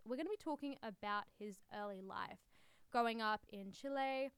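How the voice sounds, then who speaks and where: A woman's voice: mid-range voice, clean tone, no room sound. A woman presenting on radio, reading an upbeat station promo and introduction. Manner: eager, upbeat.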